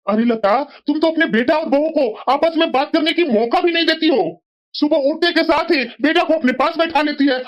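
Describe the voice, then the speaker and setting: Dull-sounding, high-voiced scolding cartoon husband voiced by a woman. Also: natural voice. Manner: reproachful, lecturing. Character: scolding, sensible middle-aged husband and father-in-law. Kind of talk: Hindi family moral-story cartoon dubbing.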